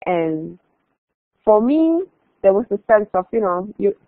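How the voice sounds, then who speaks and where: A woman's voice: medium pitch, very animated, measured pace, dry recording. Young woman over a tinny call line. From Malaysia. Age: young adult. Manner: casual, repeats and restarts phrases, says "like" often. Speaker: exchange alumna presenter. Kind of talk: online conference presentation. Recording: tinny phone-like online call audio.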